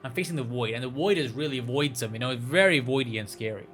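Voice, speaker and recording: A man's voice: medium pitch, quick pace, fairly bright tone, faint music behind. A man playing a visual novel, reading the text aloud and chatting casually in a playful, rambling way, sometimes stumbling over the words. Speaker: gaming streamer.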